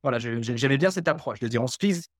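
Man, hesitant, lots of fillers, mid pitch, dry close sound. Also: expressive, fast, no echo. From Canada (Quebec). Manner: hesitant, many fillers and restarts. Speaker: guest, development researcher. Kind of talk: podcast interview.

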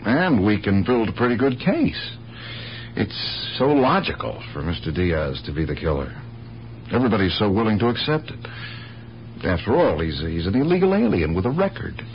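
A regretful police lieutenant in a radio play. His lowish voice moves expressively as he probes with sympathy and hesitation. The recording is dull and slightly hissy. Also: very animated. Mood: troubled, probing. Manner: measured, hesitant, sympathetic questioning. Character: calm, regretful, probing male police lieutenant. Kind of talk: radio drama acting. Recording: muffled, hissy old radio broadcast.

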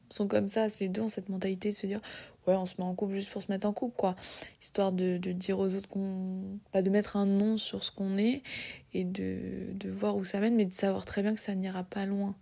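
A woman's voice: mid-range voice, vocal fry, dark tone. A woman in her early twenties talking casually and confidingly to her listeners, with many fillers and restarts. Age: 20s. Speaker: podcast host. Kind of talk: casual personal monologue.

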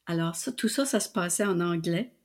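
A woman's voice: fairly low pitch, a little fast. An older woman with a Canadian French accent from New Brunswick, telling her story as a guest, with frequent fillers and restarts. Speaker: guest, publisher and translator. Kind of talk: casual storytelling interview.

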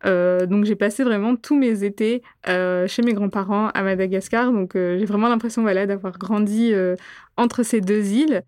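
A young woman from Réunion, whose first language is Gujarati, answering interview questions thoughtfully with many pauses and fillers. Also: mid-range voice, steady tone, a little mellow, no room sound, studio quality. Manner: thoughtful, frequent hesitations. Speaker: guest.

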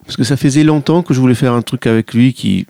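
A man's voice: mid-range voice, fast, vocal fry, warm tone, dry recording. A man whose first language is Italian, a musician guest in a radio interview, talking casually with many restarts and fillers.

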